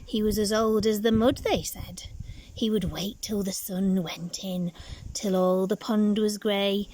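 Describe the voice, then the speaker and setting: A woman reading a picture book expressively to young children, with dramatic pauses and asides to her listeners. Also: medium pitch, fairly even intonation, a little mellow, a little hiss or hum, poor audio.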